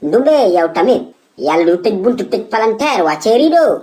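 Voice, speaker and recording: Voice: mid-range voice, normal speed, very noisy, close to an everyday voice. An actor voicing a jittery, boastful old male war veteran with a Senegalese accent in a comedy cartoon. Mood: bossy, boastful. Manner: excitable, exclamatory.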